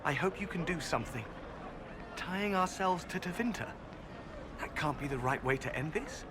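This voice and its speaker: Over noisy ambience, a man plays a young elven mage from a fantasy game. His voice sits fairly high, earnest and indignant at being wronged. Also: lively intonation, normal speed, natural voice. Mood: troubled, aggrieved.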